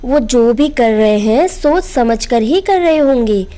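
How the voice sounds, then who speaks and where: A woman plays a young daughter in a storybook tale, dutiful and devout. Her voice is fairly high and very unhurried, reasoning respectfully and gently. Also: animated. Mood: earnest, defending. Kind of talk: Hindi moral storybook narration.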